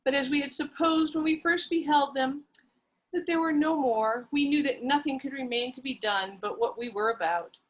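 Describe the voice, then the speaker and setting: A woman reading a story aloud with theatrical, breathless melodrama. Her voice sits high, and she plays the lead and narrates over a video call. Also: normal speed, low-quality recording.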